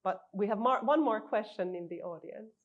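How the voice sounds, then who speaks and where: A woman moderates a seminar Q&A. She reads the audience's questions aloud and speaks in a polite, measured way. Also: medium pitch, muffled recording. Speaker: seminar chair. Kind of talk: moderating a Q&A session.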